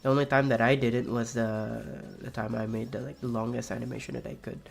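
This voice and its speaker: A young man with a raspy, gravelly voice talks loosely while he plays, trailing off and restarting his sentences. His pitch sits in an ordinary middle range. Faint game music plays underneath. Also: flat delivery, quick pace. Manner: rambling, soft-spoken, many fillers and restarts. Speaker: streamer. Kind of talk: casual chat while playing a game.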